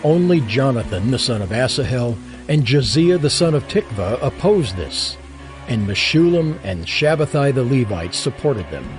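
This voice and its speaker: Male narrator, medium pitch, measured, solemn scripted scripture reading, faint music behind. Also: very animated. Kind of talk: scripted dramatized Bible narration.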